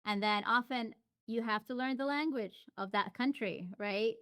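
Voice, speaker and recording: A woman's voice: fairly high pitch, normal speed, a bit bright, clean tone, no room sound, clean studio sound. A woman as an interview guest, talking casually and reflectively with frequent restarts and fillers. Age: adult.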